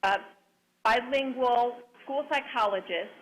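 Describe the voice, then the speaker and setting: A woman whose first language is Spanish, a clinical psychologist answering a panel question over a remote link in a measured, deliberate way. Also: a little high, a bit bright, low-quality recording.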